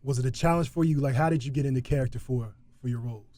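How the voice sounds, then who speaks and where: Fast-talking man radio host. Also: mid-range voice, dark tone. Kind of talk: casual radio hosting.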